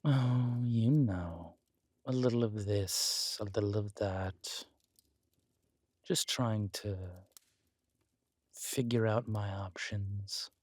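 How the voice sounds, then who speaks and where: A man's voice: lowish voice, very slow, long pauses, darkish tone, dry recording. A man playing a scheming, flirtatious villain king in an intimate roleplay audio drama, with a languid, teasing, menacing delivery. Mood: teasing, amused.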